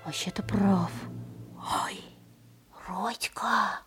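A woman playing an excitable, sceptical young schoolboy sidekick in a Soviet children's radio play, exclaiming in disbelief. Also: mid-range voice, drawn-out words, slightly rough, soft background music, full character voice. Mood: incredulous. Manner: exclamatory, incredulous.

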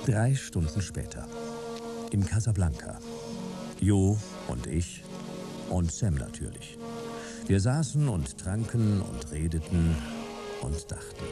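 Wry sci-fi noir detective man, laconic, deep gravelly voice, radio play. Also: slow pace, long pauses, over loud music, full character voice. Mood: laconic narration. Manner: laconic, deadpan. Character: wry hard-boiled male sci-fi private detective narrating his case. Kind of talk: radio drama narration and dialogue, noir detective.

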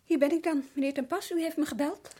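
An actress playing a patient, careful care worker mediating in a family, polite and tactful, in a Dutch radio play. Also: female voice, high-pitched, steady tone, quick pace, warm tone, natural voice. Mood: firm, questioning.

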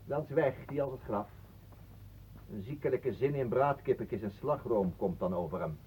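An actor playing a talkative, mock-polite wanderer with florid, absurd philosophy in a radio play. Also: male voice, medium pitch, normal speed, warm tone, slight background noise, close to an everyday voice. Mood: mock-courteous. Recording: muffled, narrow old radio recording.

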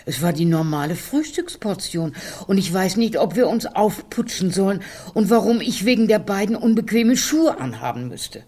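A woman's voice: medium pitch, lively intonation, moderate pace, dark tone, breathy edge. An actress playing a practical, impatient older woman in a literary radio drama, curt and matter-of-fact. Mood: pragmatic.

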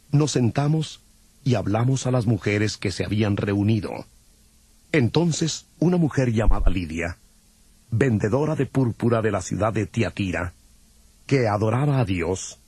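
A man's voice: lowish voice, darkish tone, breathy edge, dry recording. A man narrating a dramatized Bible from a script. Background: music.

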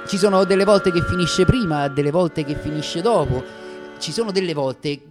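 An actor with a Roman accent playing a variety comedian who tells his life story in a sardonic, dramatic monologue with deliberate pauses. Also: a little high, over music, lightly acted. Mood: wry, boisterous. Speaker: stage actor. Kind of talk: theatrical radio monologue.

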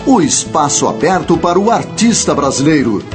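Measured male radio announcer over music. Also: a little high, very animated. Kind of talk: scripted show sign-off.

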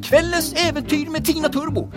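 A woman's voice: sits high, fairly even intonation. A woman delivering dramatic scripted narration as the announcer of a radio drama serial. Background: prominent music.

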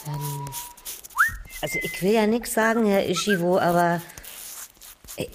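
A woman's voice: mid-range voice, wildly swooping pitch, a little slow, noisy background. An actress playing a nosy, motherly boarding-house landlady in a German radio drama, chatty, insinuating and easily scandalised. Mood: indignant.

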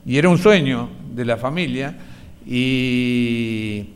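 Clear-voiced Argentine man recalling in halting phrases. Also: mid-range voice, expressive. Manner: halting, reflective, repeating words. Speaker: interviewee, relative of a disappeared person. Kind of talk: personal testimony, conversational recollection.

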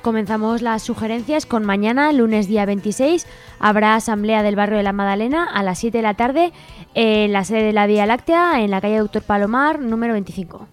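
A woman's voice: mid-range voice, brisk. A woman with an accent from Spain presenting a radio events listing, read out as announcements. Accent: Spanish from Spain. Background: music bed.

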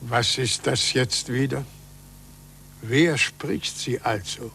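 An actor playing a weary, irritable, imperious Pope in a 1940s German radio drama, speaking in a measured, testy way. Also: male voice, medium pitch, creaky, bright, forceful tone, slight background noise, exaggerated voice. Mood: irritated. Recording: old 1940s radio recording.

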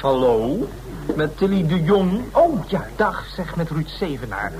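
Cheeky, high-voiced smooth talker. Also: male voice, sing-song, a little slow, crowd noise. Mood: smooth, charming. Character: cheeky, easygoing man, a smooth-talking flirt. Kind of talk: radio drama acting in Dutch.

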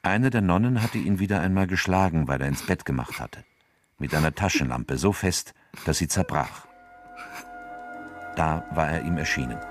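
A man's voice: sits low, animated, normal speed, faint music behind. A man narrating a radio drama from a script.

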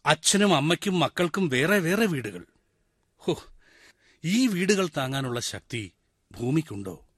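Middle-aged village postman, animated delivery, reflective radio monologue. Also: fairly high pitch, unhurried, breathy edge, dry recording, studio quality, heavily acted. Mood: lamenting. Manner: talks straight to the listeners, musing and lamenting. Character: retired village postman, wistful, chatty, brooding. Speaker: actor in a one-man radio play. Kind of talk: 1990s-style radio monologue acting.

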